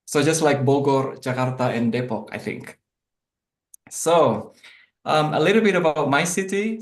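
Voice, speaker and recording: A man from Indonesia, a native Indonesian speaker, talks an online class through slides in a medium-pitched voice. He hesitates often, filling gaps with fillers and restarting his phrases. The sound bounces around an echoey room. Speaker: guest presenter in an online class.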